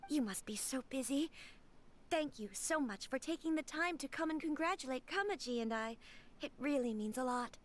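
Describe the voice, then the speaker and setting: High-voiced, gracious young noble lady. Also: animated, moderate pace. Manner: polite, formal, earnest. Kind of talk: video game voice acting.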